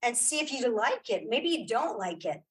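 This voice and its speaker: A woman's voice: a little high, big pitch swings, moderate pace, breathy edge. A woman chatting informally as an interview guest, emphatic and encouraging, repeating phrases to make her point. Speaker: interview guest, podcaster and audio drama creator. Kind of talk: casual interview chat.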